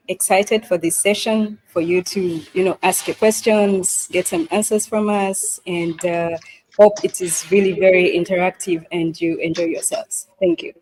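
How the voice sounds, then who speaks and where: Woman from Uganda, low voice, hesitant, lots of uhs, video call. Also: darkish tone, slight background noise, low-quality recording. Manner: hesitant, many fillers. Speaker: scientist and panelist. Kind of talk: casual panel chat.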